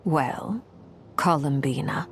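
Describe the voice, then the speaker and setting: A woman playing a coolly composed, commanding warrior in a video game, measured and formal. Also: sits low, animated, gravelly, slight background noise, heavily acted. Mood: calm, resolute.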